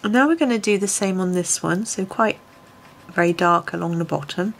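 A woman with a lowish, slightly croaky voice talks through her colouring step by step. She speaks softly, often sinking to a near whisper as she works. A faint hiss of room ambience sits behind her. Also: moderate pace. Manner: soft-spoken, often drops to a near whisper. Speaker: colouring tutor. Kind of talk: relaxed step-by-step tutorial. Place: home desk, filmed from above.

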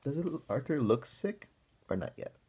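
A young man reading a picture book aloud to children as a teacher, pausing for encouraging asides and questions. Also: mid-range voice, big pitch swings, warm tone, husky. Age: young adult. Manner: encouraging, asks listeners questions. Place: small room at home, indoors.

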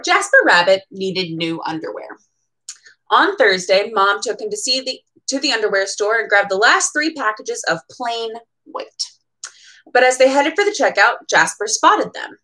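A young woman gives an expressive storytime read-aloud for children. Her mid-pitched voice swings widely and is playfully dramatic, with a chatty, informal touch. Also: normal speed, poor audio. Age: young adult. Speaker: bookseller reading at storytime. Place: small room at home.

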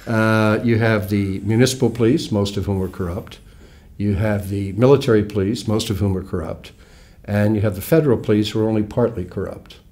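An American man in his sixties, a guest author explaining things in a wry, measured way, recorded in a small studio on a lapel mic. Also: on the low side, steady tone, darkish tone. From United States. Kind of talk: relaxed interview talk. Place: small studio with red curtain.

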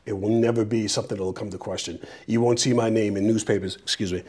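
Earnest man from Peru, halting answers. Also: on the low side, steady tone, darkish tone, husky. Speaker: mayoral candidate. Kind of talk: studio interview answers. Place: small TV studio. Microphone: lapel mic.

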